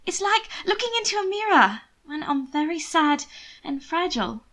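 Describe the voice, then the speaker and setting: Woman playing a young highborn sister, high pitch, coaxing, sweet, breathy emphasis, manipulative, storybook drama. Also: sing-song, moderate pace, a bit bright. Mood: wistful.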